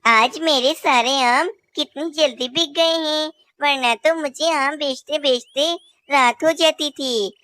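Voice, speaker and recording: A woman's voice: high voice, takes it slowly, sharp tone, heavily acted. A woman voicing a cheerful young female bird who sells mangoes, polite and eager. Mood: pleased. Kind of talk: cartoon voice acting.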